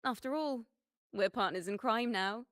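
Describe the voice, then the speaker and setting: A woman's voice: on the high side, normal speed, fairly bright tone, no room sound, lightly acted. A woman acting an earnest, devoted young adventurer in a video game, speaking expressively. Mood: tender promise. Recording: voice over game music.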